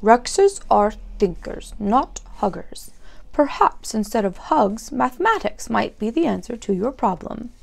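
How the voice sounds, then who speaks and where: A woman's voice: a little high, big pitch swings, a little slow, vocal fry, heavily acted. A woman voicing a thoughtful dinosaur father who lectures about math in a picture book. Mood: lecturing.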